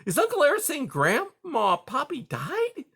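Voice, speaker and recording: A man's voice: very high-pitched, wildly swooping pitch, measured pace, pitched above the performer's own voice, full character voice. A man voicing a young male mouse, a curious boy who whispers puzzled questions. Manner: hushed and puzzled.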